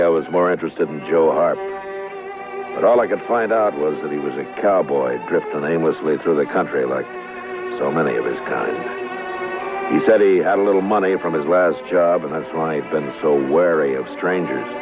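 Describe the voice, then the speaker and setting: A steady, dry-humored marshal in a radio western. His voice is low and calmly commanding. The old recording is dull, with music behind. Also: normal speed. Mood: matter-of-fact. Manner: laconic, calmly authoritative. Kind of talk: 1950s radio western acting and narration.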